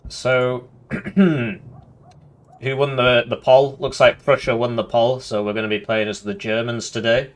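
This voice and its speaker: A man's voice: mid-range voice, level tone, unhurried. A man with a nasal voice, commenting on his game live, reading in-game text aloud with casual asides. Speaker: streamer.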